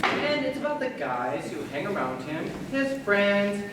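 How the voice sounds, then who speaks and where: A man's voice: high-pitched, sing-song, a little slow. A young man playing a brash, dim, showy aspiring actor in a school stage comedy. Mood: cocky, showy. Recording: echoey stage, distant mic, noisy.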